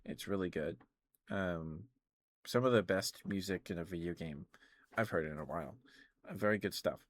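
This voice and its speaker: A man's voice: deep voice, lively intonation, normal speed, creaky. A man chatting casually and hesitantly on an ASMR game livestream, musing and rambling as he goes. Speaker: live streamer, video game streamer.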